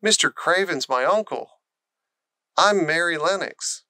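A man's voice: on the high side, expressive, a little slow, bright, forceful tone, no echo, studio quality, heavily acted. A man voicing an earnest, curious young girl with a questioning manner in a storybook. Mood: alert, astonished.

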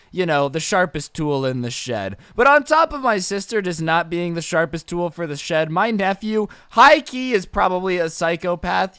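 A man's voice: high voice, fairly bright tone, clear, resonant tone, no echo, studio quality. A young man with a cold, narrating a comedic storytime with sarcastic, rambling asides. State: sick with a cold.

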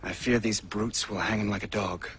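A man's voice: lowish voice, fairly even intonation, a bit bright, slight background noise, natural voice. A man playing a formal older army colonel. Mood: earnest. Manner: formal, measured. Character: courteous older male colonel, earnest. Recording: game audio with music behind.

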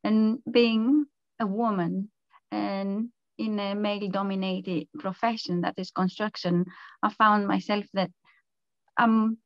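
A woman's voice: medium pitch, no room sound. Greek-accented woman on a dull call line. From Greece. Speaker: panelist, entrepreneur. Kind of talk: online panel answers.

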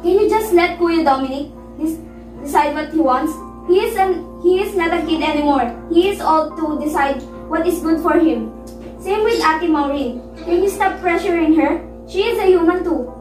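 High-voiced, earnest young daughter played by a woman. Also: moderate pace. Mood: hurt, pleading. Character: hurt, outspoken youngest daughter of strict parents. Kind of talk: amateur one-act melodrama acting. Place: living room, far camera mic. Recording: echoey room, distant mic, music over it.

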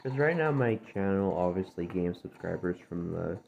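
Gravelly-voiced man, just woken up. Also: deep voice, lively intonation, moderate pace. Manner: rambling, self-correcting, drifting between topics. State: gravelly, dry voice from just waking up. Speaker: gaming channel host. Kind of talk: casual gameplay commentary. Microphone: phone screen-recorder audio. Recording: tinny, phone-like, faint noise.

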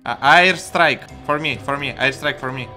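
Pemain game pria beraksen Rusia. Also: male voice, medium pitch, normal speed, sharp tone, faint music behind. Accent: Russian. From Russia. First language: Russian. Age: 20s. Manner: short broken English phrases. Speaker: streamer. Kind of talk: casual gaming chat.

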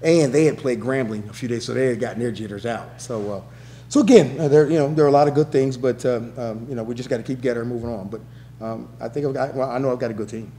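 A middle-aged man fielding questions as a head coach, rattling through answers at a quick clip. He repeats himself and restarts often, pressing his points with emphasis. A faint hum sits under his table mic. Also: medium pitch, dark tone. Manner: rapid, repetitive restarts, emphatic. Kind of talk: post-game press conference answers. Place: press room with backdrop.

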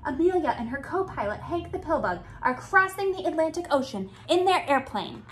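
A woman in her thirties reading a picture book aloud to young children with expressive, deliberate phrasing. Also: high voice, slight background noise. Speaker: children's storytime reader. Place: small indoor room with bookshelves.

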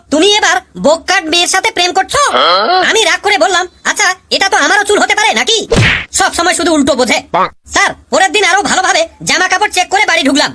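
Woman doing a cheeky cartoon schoolboy-husband, quick, bright squeaky voice, tells jokes. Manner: chatty storytelling, punchline delivery. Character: cheeky male pupil, married, joking about his wife. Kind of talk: cartoon comedy voice acting.

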